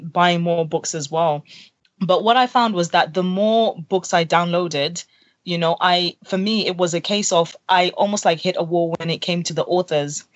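A woman's voice: on the low side, no echo. A young woman from Zimbabwe explains her path in a podcast interview, earnest and full of little fillers. The sound is dry and close, with no room around it. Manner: earnest, lots of fillers and "literally". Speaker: co-founder guest. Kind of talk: interview conversation.

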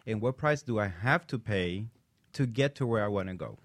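A man's voice: lowish voice, animated, moderate pace, dry recording. Reflective man in a dry room. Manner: reflective, adds his own view. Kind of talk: talk-show chat.

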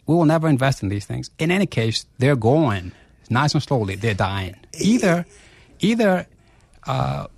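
Forceful man, dry studio. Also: mid-range voice, creaky, no echo. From South Africa. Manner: forceful, rhetorical questions, repeats phrases. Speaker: chief investment officer, guest. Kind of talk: radio interview.

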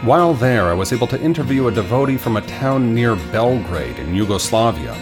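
A man's voice: medium pitch, big pitch swings, brisk, over music. A man with an American accent hosting a podcast and interviewing. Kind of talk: scripted podcast introduction and interviewing.

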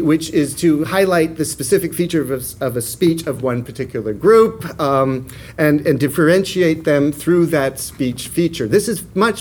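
A man giving an academic lecture, halting often with fillers and restarts, his pitch rising and falling with emphasis. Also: a little mellow.

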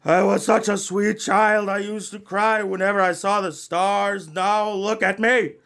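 Man acting a sensitive rocker with a high voice. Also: level tone, unhurried, gravelly, a bit bright, clear, resonant tone, full character voice. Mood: vulnerable, confessing. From Norway. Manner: earnest, confessional. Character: sensitive young male rock band member, tough facade. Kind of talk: amateur radio play acting.

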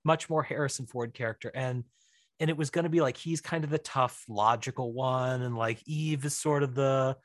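A man chatting casually as an interview guest, animated and rambling, often correcting himself mid-sentence. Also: mid-range voice, normal speed, no echo, clean studio sound. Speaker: writer-director of audio dramas, podcast guest.

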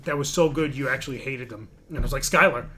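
A man's voice: medium pitch, fast, bright, forceful tone, a little hiss or hum. A man co-hosting a podcast in casual, joking banter with plenty of swearing. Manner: joking, profane. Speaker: podcast co-host.

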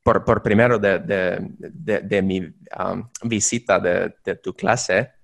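A man's voice: low voice, steady tone, takes it slowly, vocal fry. A man co-hosting a casual language-learning podcast, playful, mixing slang and restarting phrases. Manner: playful, uses Spain and Mexico slang, frequent restarts. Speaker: podcast co-host. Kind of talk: casual chat.

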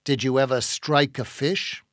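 A man voicing a gruff, bossy old sea captain who hails people heartily in an audiobook reading. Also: medium pitch, fairly even intonation, a little slow, dry recording, clean studio sound, lightly acted. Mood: hearty, excited. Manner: loud hailing, salty nautical exclamations.

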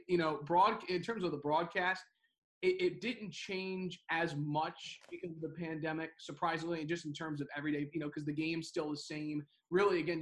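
A man's voice: a little high, low-quality recording. Chatty young man, rambling with fillers. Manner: rambling, many fillers and restarts. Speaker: baseball broadcaster, co-host. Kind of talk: casual podcast chat.

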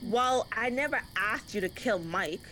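An actress playing a vain, scheming young wife who snaps orders with scorn, in a student radio comedy. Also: female voice, fairly high pitch, expressive, unhurried, fairly bright tone, a little hiss or hum, poor audio. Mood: dismissive. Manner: snappy, scornful and bossy. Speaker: actor.

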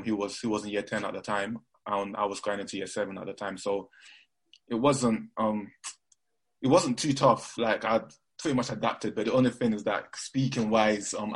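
A man's voice: fairly low pitch, slightly rough, poor audio. A young man from Nigeria with a mixed London and Nigerian accent, chatting casually as an interview guest, rambling with lots of fillers and restarts.

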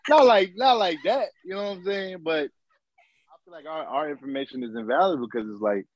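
A man's voice: on the high side, expressive, normal speed, no room sound, lo-fi sound. A man with a mixed New York and Southern accent, co-hosting a podcast in loose, profane, joking casual chat. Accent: New York and Southern US mix. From South Carolina. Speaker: podcast co-host.

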